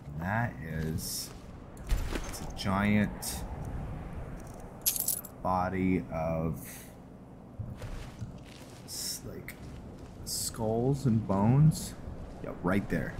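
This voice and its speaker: A man giving casual gameplay commentary with some roleplay narration, muttering to himself in a halting, low-key way. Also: background noise. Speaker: gaming video host. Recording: music behind the voice.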